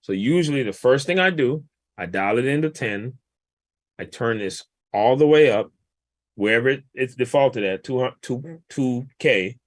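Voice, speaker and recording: A man, a guest mix engineer, casually walking through a vocal mixing session in a relaxed, explanatory, colloquial way. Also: mid-range voice, measured pace, dry recording.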